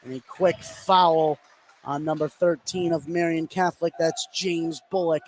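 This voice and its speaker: Excitable guy calling a basketball game on radio, pretty high voice, noisy gym behind him. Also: a little slow. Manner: excitable, voice rises to shouts on big plays. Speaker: play-by-play radio announcer. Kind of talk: live basketball play-by-play commentary. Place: courtside in a school gym. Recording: clear gym noise behind the voice. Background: gymnasium ambience.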